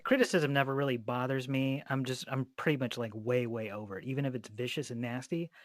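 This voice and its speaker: A man's voice: mid-range voice, fairly even intonation, brisk, dry recording. A man hosting a casual interview, chatting in a blunt, informal and sometimes profane way. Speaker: interviewer, podcast host. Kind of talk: casual chat.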